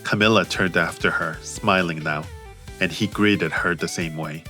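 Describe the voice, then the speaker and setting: A man reading an audiobook romance as narrator in a measured, steady way. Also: sits low. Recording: faint music behind.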